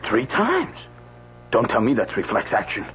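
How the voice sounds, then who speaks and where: Blunt, skeptical bedside watcher, vintage radio drama, dull sound, faint hum. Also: male voice, mid-range voice, huge pitch swings, a little slow, a bit bright. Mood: exasperated. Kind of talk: old-time radio drama acting.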